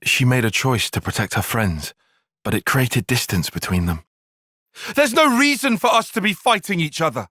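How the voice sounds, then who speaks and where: An earnest young strategist for a video game cutscene. His phrasing is formal and exact, with animated pitch swings and a slightly husky edge. The recording is very dry, with no room around it. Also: moderate pace, dry recording. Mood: subdued, then alarmed, indignant. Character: analytical, reserved young male military strategist, earnest and proper.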